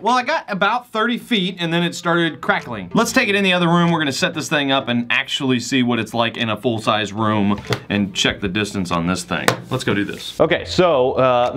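A man's voice: medium pitch, a bit bright. A man in his thirties hosting a casual tech review, chatty and upbeat, thinking aloud as he tests a speaker in a small studio and a living room. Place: small studio with foam-padded walls, then a living room.

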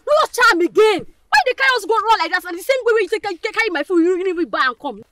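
A woman's voice: very high voice, very animated, fast talker, fairly bright tone, exaggerated voice. A woman with a Nigerian accent playing a bossy, impatient, street-smart young troublemaker in a screen drama. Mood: angry scolding. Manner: pushy, confrontational. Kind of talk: dramatic film acting in street slang.

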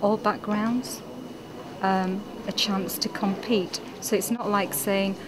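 A woman's voice: medium pitch, fairly even intonation, takes it slowly, noisy background. A woman pageant contestant chatting in an interview, thinking aloud as she talks. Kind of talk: interview answers. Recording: crowd chatter behind.

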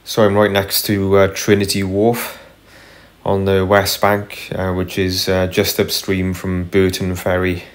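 A man narrating casually as he paddles a kayak on a river, unhurried, with frequent ums and uhs. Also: deep voice, monotone. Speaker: kayaker vlogger. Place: kayak on a tidal river outdoors. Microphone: camera mounted on the kayak.

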